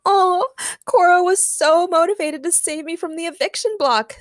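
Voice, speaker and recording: An emotional, chatty female reality contestant exclaims in a confessional, acted by a woman reading a story. Her pitch leaps high, and the sound is dry. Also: moderate pace, no echo. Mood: tearful emotion. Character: indignant, chatty young woman venting in confessional.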